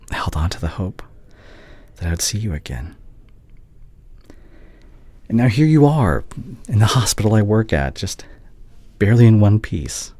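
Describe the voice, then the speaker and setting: A man playing a heartbroken, devoted young doctor ex-boyfriend in an intimate audio roleplay, hushed and halting, often slipping toward a whisper. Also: lowish voice, dry recording. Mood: aching, hopeful. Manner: halting, emotional, confessional.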